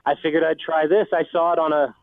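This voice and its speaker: A man's voice: on the high side, flat delivery, quick pace, creaky, no room sound. A man talking hesitantly and self-consciously as a phone-in guest on a casual radio show. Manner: awkward, hesitant, self-deprecating. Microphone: phone call. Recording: muffled phone-line sound.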